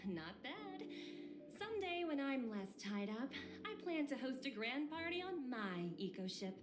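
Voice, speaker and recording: A woman's voice: high voice, sing-song, unhurried. An actress playing an elegant, worldly businesswoman in a space fantasy video game, with a smug, playful and insinuating manner. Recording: music playing over the voice.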